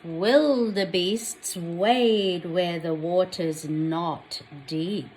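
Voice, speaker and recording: An Australian woman reading a picture book aloud to young children, expressively, pausing to put questions to them. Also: on the low side, a little hiss or hum, poor audio. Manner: addresses young children directly, expressive storytelling.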